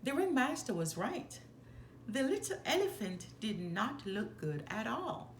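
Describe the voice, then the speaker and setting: A woman reading a children's story aloud in a deliberate, expressive storytelling manner. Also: a little high. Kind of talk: expressive scripted read-aloud narration for children. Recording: roomy echo, faint background noise.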